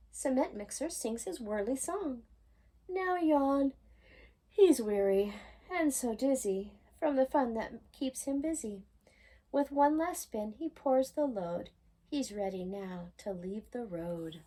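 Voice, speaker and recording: A woman storytime reader with a fairly high, soft-toned voice narrates a rhyming picture book for young children. She takes it very slowly and gently, with a singsong lilt suited to bedtime. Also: animated.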